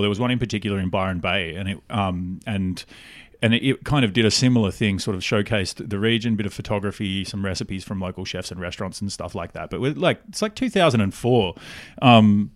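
A man's voice: fairly low pitch, a little fast, dry recording, clean studio sound. An Australian man in his thirties, telling stories in an informal, rambling, slangy way as a podcast guest. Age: 30s. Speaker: podcast guest, cookbook publisher. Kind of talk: candid casual interview chat.

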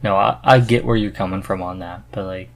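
A man hosting a podcast in casual chat, halting as he searches for words. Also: low-pitched, fairly even intonation, gravelly. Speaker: podcast host.

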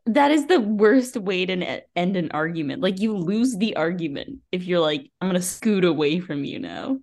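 A woman's voice: fairly low pitch, expressive, normal speed, a little mellow. A woman co-hosting a book podcast in a casual chat, giving blunt, jokey opinions.

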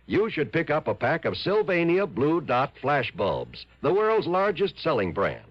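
A man's voice: mid-range voice, dry recording. A man reading a vintage radio commercial as a polished, emphatic announcer. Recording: dull vintage radio recording.

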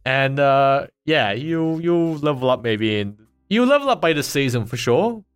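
A man's voice: a little slow, smooth, soft background music. A man hosting a casual podcast, teasing his co-host and laughing often. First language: not Chinese. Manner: teasing, laughs often, lively swings in pitch. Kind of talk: casual chat.

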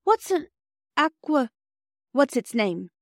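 A woman's voice: high-pitched, sing-song, slow, dry recording, clean studio sound, pitched above the performer's own voice, heavily acted. A woman voicing an earnest, polite young girl in a storybook, hesitant and eager. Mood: wondering. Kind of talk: storybook character dialogue.

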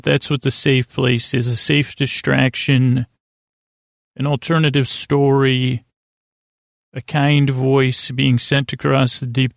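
Gravelly guy rambling on a sleep podcast, keeps losing his thread, pretty flat pitch, super dry sound. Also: no room sound. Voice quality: creaky, gravelly. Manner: halting, digressive, with repeated stumbles and self-interruptions. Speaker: podcast host. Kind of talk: rambling, meandering bedtime podcast monologue.

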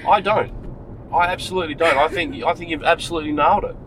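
A man's voice: on the high side, fast talker, noisy background. A man interviewing people on the street, with encouraging, teasing banter. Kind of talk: casual street interview chat. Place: outdoors on a city street.

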